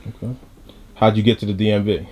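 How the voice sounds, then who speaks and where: A man's voice: on the low side, flat delivery, fast talker, a little mellow, slight background noise. A man hosting a casual hip-hop interview, speaking informally with plenty of slang. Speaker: interviewer, host.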